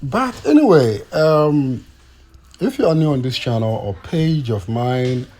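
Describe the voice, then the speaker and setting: A man's voice: medium pitch, very animated, a little slow, a little mellow, faint music behind. A man from Ghana chatting casually to his vlog audience outdoors, hesitant, with many fillers and restarts. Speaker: vlogger.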